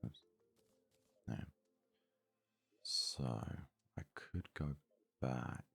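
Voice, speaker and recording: A man giving hushed, unhurried ASMR gaming commentary, murmuring as if thinking aloud in a husky, breathy voice. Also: a bass voice, no room sound, faint music behind. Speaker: let's play host.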